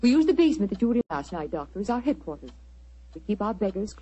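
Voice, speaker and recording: Genteel society lady, soft mellow voice. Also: medium pitch, very animated, slightly rough. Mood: earnest, confessional. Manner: polite, measured, dramatic. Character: genteel, earnest wealthy society lady seeking help. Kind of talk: 1940s radio acting. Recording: muffled vintage 1940s radio recording.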